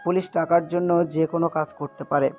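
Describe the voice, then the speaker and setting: A man's voice: on the high side, little pitch movement, a little fast, darkish tone, soft background music, lightly acted. A man playing a concerned, protective older uncle in an audio story drama, speaking earnestly. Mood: grave, explaining. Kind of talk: Bengali audio love-story drama acting.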